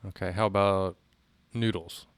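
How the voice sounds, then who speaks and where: Audio drama: male actor as protective partner, low register, reassuring, upbeat, casual. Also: steady tone, moderate pace, no echo. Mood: playful. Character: protective, unworried male companion, upbeat, devoted. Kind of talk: naturalistic audio drama dialogue.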